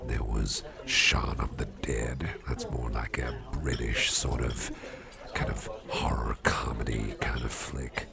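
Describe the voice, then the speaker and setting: A man playing a jovial, impish old devil boss in a soft, rambling, genial ASMR roleplay chat with a gravelly voice. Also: steady tone, full character voice. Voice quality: gravelly, fry-laden deep voice. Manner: unhurried, rambling, genial, with repeated phrases. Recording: party crowd murmur behind voice. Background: party crowd chatter.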